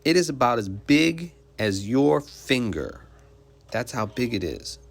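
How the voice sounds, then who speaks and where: A man's voice: medium pitch, sing-song, a little slow, creaky. A man reading a children's picture book aloud like a teacher, explaining simply and putting questions to young listeners.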